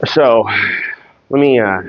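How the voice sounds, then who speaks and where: A stuffed-up man chatting casually on a fitness livestream, talking in halting, rambling bursts full of fillers. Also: medium pitch. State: congested, stuffed up. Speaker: fitness streamer. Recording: muffled sound with long reverb.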